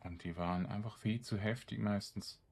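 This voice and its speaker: A man's voice: on the low side, steady tone, moderate pace, gravelly, husky. A man giving casual let's-play commentary, grumbling in exasperation and muttering to himself as he plays. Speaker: video game streamer.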